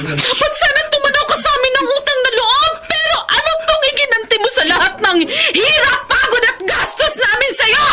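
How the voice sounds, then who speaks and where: A woman's voice: very high voice, a little fast, hardly any pauses, very noisy. An actress playing a bitter, furious mother who accuses and wails in a radio drama. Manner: shrieking, accusing. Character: bitter middle-aged mother, furious and wailing.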